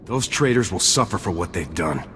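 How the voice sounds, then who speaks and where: An actor playing an insolent, ruthless commando lieutenant in a video game, speaking in a curt, hard, contemptuous manner. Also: male voice, low voice, animated, moderate pace, fairly bright tone. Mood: hard, impatient. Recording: long reverb with faint noise.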